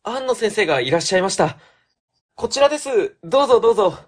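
A woman's voice: mid-range voice, sing-song, fast, a little hiss or hum, lightly acted. A woman playing a young, level-headed office subordinate in a comic radio drama, polite and matter-of-fact. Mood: polite, prompting.

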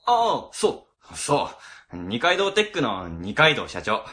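Animated, bright-voiced male office boss acted by a man. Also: medium pitch, takes it slowly, full character voice. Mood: breezy, talkative. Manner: casual, explanatory, animated. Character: chatty, easygoing male government office section boss. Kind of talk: Japanese web radio drama acting.

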